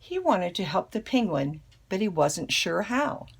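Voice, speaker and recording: Creaky, husky older woman reading a storybook. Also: lowish voice, very animated, a little slow. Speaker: grandmother reading a picture book. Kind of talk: children's storybook read-aloud.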